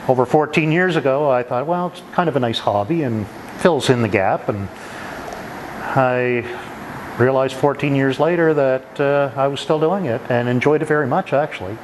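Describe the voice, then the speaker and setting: A middle-aged man with a Maritime Canadian accent, giving reflective, halting interview answers over clear background noise. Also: medium pitch, poor audio. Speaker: retiring emergency dispatcher and firefighter.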